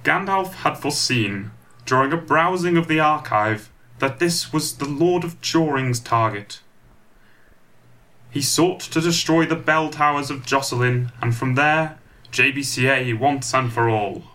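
A man narrating a comic pantomime in a scripted, dramatic storytelling style with deliberate phrasing. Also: medium pitch, pushed and bright.

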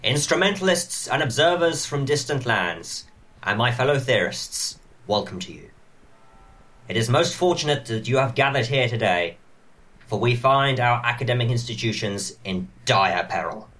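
A man playing a stately elf lord, addressing a council formally and gravely in an amateur comic pantomime. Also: mid-range voice, lively intonation, moderate pace, a bit bright, natural voice. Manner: formal, oratorical.

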